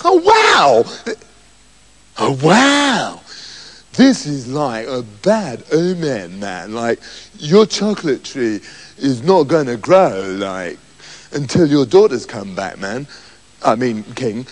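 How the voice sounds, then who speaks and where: A man's voice: medium pitch, sing-song, slow, pitched below the performer's own voice. A man voicing a spaced-out, drawling hippie magician in a children's story. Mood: dazed. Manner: drawling, dazed, says "man".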